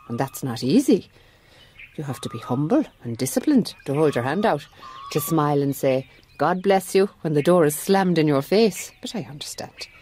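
An actress with an Irish accent playing a middle-aged, shrewd and gently firm Mother Superior in a radio drama. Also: rumbling low, slight background noise. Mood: firm, gentle. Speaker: narrator and character actress. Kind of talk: radio drama narration and acting.

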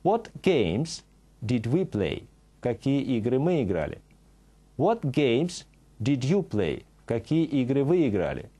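Slow, mellow male TV teacher. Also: mid-range voice, sing-song, breathy edge. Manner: slow, carefully articulated example sentences. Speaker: English teacher. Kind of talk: scripted TV lesson. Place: TV studio set.

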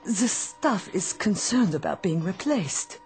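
An actress playing a dutiful, protective robot housemaid with a measured, formal manner in a radio drama. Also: female voice, medium pitch, expressive, a little mellow, faint music behind. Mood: grave, urging.

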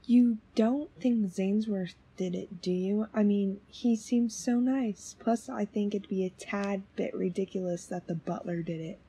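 A woman playing a plain-spoken, doubtful young female companion in an audio drama, with a husky, breathy voice. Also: medium pitch, a little slow, hardly any pauses, dark tone. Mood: doubtful, casual.

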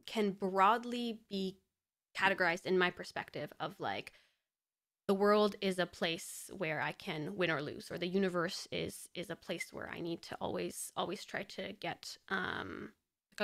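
A young woman from Poland speaks as a podcast guest over a video call. Her voice sits fairly low and has a gravelly, fried edge. She talks reflectively, circling back and restarting her thoughts into a boom-arm studio mic. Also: a bit bright. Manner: thoughtful, often restarts phrases. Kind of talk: reflective casual conversation. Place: indoor room, video call. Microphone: studio microphone on boom arm.

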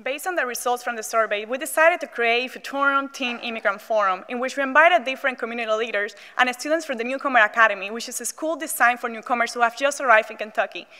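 A woman's voice: fairly high pitch, fast, few pauses, bright, forceful tone. A teenage girl from Cuba, a student presenter, earnestly reading a prepared talk at a podium on a theater stage. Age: teens. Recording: reverberant auditorium.

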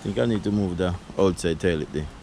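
A man's voice: low-pitched, fairly quick, background noise. A middle-aged man from Saint Vincent with a Vincentian accent, chatting casually as he shows his backyard garden, laughing often. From Saint Vincent and the Grenadines. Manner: relaxed, chatty, laughs often, creole phrasing. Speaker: vlogger giving a garden tour. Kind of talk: casual chat.

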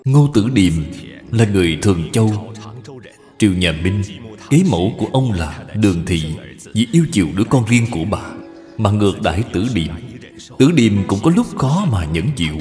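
A man's voice: lowish voice, expressive, normal speed, mellow. A man narrating a scripted moral folk story. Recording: long reverb, music behind.

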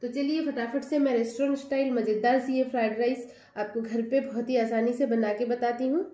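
High-voiced woman explaining a home cooking recipe. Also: fairly even intonation, a little fast, dark tone. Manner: chatty, patient, explains each step. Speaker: cooking channel host. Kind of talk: step-by-step cooking instructions. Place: home kitchen by a gas stove.

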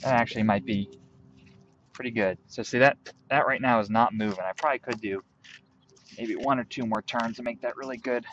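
A young man outdoors in a field, calmly walking through a hands-on tutorial step by step while he works with his hands. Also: medium pitch, brisk, highs cut off, a little hiss or hum. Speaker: bushcraft instructor.

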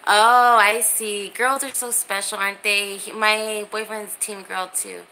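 A woman's voice: medium pitch, level tone, a little slow, pushed and bright, slight background noise, rough recording. A woman in her thirties chatting casually and informally as she hosts a livestream from a small room, holding her phone at arm's length. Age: 30s. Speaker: livestream host. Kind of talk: casual livestream chat, reading and answering comments.

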